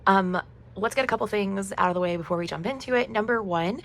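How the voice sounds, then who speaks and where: A woman's voice: mid-range voice, fast. A woman in her thirties who is losing her voice, chatting upbeat to camera as a budgeting vlogger. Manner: chatty, upbeat, laughs often. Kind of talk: casual chat to camera.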